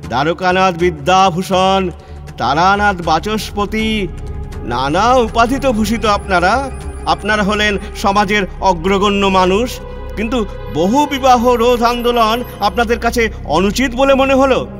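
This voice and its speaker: An actor playing an ageing, stern and wounded scholar-reformer in a declamatory, emotional audio-play monologue with dramatic pauses. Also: male voice, high-pitched, fairly bright tone. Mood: bitter, accusing. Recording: music under the voice. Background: background music.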